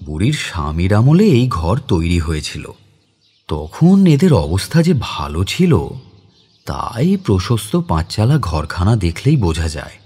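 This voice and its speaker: An actor playing a mild, educated man near forty who narrates his memories in a dramatised literary audio story, in a reflective, expressive way. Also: deep voice, husky, full character voice.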